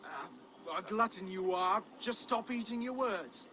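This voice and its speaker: An actor playing a soft, earnest Saxon would-be king, genteel, hesitant and excitable, in a video game cutscene. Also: high-pitched, unhurried. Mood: eager, flustered. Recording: game ambience, clear background noise.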